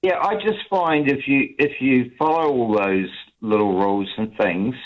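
A man's voice: mid-range voice, measured pace. An older man calling a radio show, earnest and eager to please, repeating himself. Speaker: caller. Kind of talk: casual phone-in chat. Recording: dull phone line.

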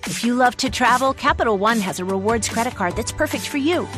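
A woman's voice: on the high side, animated, moderate pace, over music. A woman reading a scripted commercial as an announcer. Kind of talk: scripted ad read.

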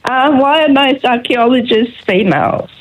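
A woman's voice: fairly high pitch, animated, moderate pace, muffled recording. A woman calling a radio show to tell a joke, chatty and laughing at her own punchline. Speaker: caller. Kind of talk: phoning in with jokes.

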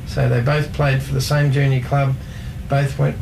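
A man's voice: medium pitch, level tone, normal speed, creaky, darkish tone, slight background noise, poor audio. A man sharing football trivia in a casual sports chat, hesitant, with many ums as he reads from his notes. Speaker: co-host presenting football trivia.

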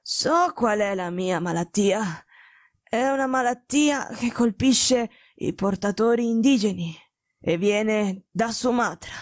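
Woman reading as sick detective guy in an audiobook, hoarse n breathless, bossy, halting. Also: medium pitch, dry recording, studio quality, close to an everyday voice. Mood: feverish, gasping. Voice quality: weak, hoarse, gasping. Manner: curt, commanding, halting. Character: feverish, imperious gravely ill male detective.

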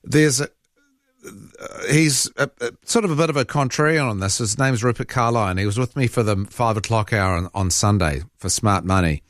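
A man's voice: medium pitch, fairly quick, no room sound, clean studio sound. A man hosting a talk radio show, conversational and opinionated. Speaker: radio host.

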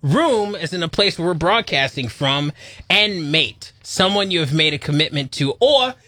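A man's voice: on the high side, animated, moderate pace, bright, forceful tone, no room sound. A man co-hosting a rock radio show, goofing around and teasing in a playful way. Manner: goofy, playful, self-mocking. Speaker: radio co-host. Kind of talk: morning radio banter.

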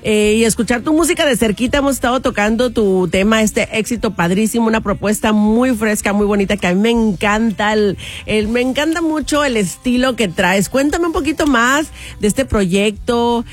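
A woman's voice: a little high, normal speed, hardly any pauses. A woman hosting a radio interview, bubbly and affectionate, laughing often with her guest. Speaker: radio host.

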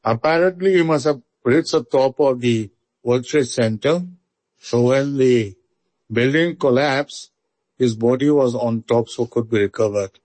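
A man with an Indian accent, slowly and reflectively recalling a loss for a news feature. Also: medium pitch, a little mellow, no room sound, rough recording. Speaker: interviewee. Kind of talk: recorded remembrance.

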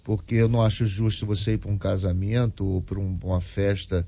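A man, a pastor, chatting casually as a guest on a talk show with a relaxed, chuckling manner. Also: low-pitched, fairly quick, vocal fry, no echo.

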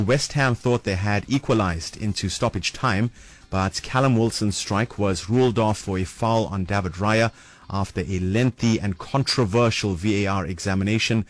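A man with a South African accent reading the news as anchor in a measured, formal way. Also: on the low side.

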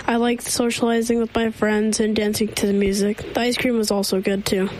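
A woman's voice: mid-range voice, level tone, fairly quick, a little mellow, slight background noise, rough recording. A teenage girl answering interview questions on the radio, hesitant with many ums. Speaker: student guest.

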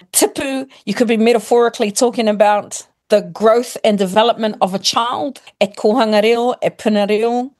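A middle-aged woman with a New Zealand accent talks like a teacher, laying out examples one after another. She pauses to rephrase and restart, steady and friendly. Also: on the high side. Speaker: guest, primary school teacher. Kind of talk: casual explanatory chat, mixing Māori and English.

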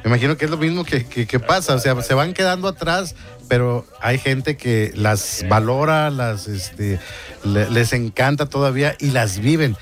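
A man's voice: medium pitch, moderate pace, faint music behind. A man hosting a morning radio show, chatting casually and laughing easily with his guests. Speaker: radio host.

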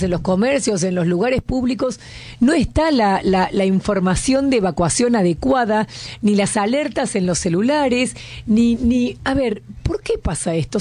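A woman's voice: fairly high pitch, dark tone, dry recording. A woman with an Argentine accent hosting a radio interview, chatting and asking pointed questions. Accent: Argentine Spanish. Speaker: radio host.

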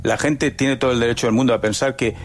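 A man's voice: mid-range voice, fairly even intonation, fast talker. Emphatic male sports pundit. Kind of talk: live football talk-show analysis.

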